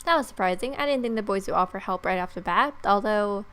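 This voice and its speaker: A woman's voice: medium pitch, animated, fast, fairly bright tone, clean tone. Young woman gamer, casual and wry. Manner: casual, sarcastic. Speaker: let's player. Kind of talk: reading visual novel text aloud with wry asides. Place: bedroom.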